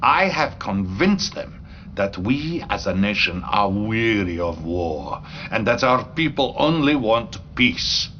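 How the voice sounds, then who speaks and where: An actor playing a treacherous, grandiose Soviet premier in a video game cutscene, speaking deliberately with menace and a Russian accent. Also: male voice, on the low side, very animated, fairly bright tone, muffled recording, a little hiss or hum, full character voice. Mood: conspiratorial, menacing.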